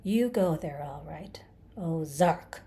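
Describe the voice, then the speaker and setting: A woman's voice: on the low side, steady tone, normal speed, mellow, natural voice. Woman voicing a snarling, vengeful male creature. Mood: broken muttering. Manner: snarling, hissing, sarcastic. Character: vengeful, bitter, menacing male creature, battered and hateful. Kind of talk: dramatized audiobook character.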